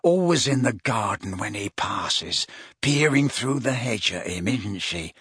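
A man voicing a vicious, mad young man in a storybook, with a rasping, hissing voice and slow, gloating, menacing delivery. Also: on the low side, lively intonation, fairly bright tone, dry recording, clean studio sound, full character voice.

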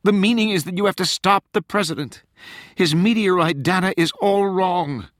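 A man's voice: high-pitched, moderate pace, a bit bright, dry recording, studio quality, voice pushed up. A man voicing a desperate younger woman, an intelligence liaison, in an urgent, emphatic audiobook character voice. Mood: urgent warning.

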